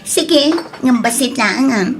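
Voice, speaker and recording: A woman's voice: fairly high pitch, expressive, takes it slowly, slight background noise, poor audio. A woman with an Ilocano accent playing a coy, friendly young bar worker in an audio drama. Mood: coy, playful.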